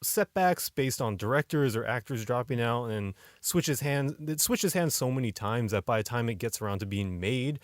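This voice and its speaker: A man's voice: mid-range voice, animated, moderate pace, few pauses, dry recording, studio quality. A man co-hosting a podcast in casual discussion, questioning and debating his points. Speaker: podcast co-host.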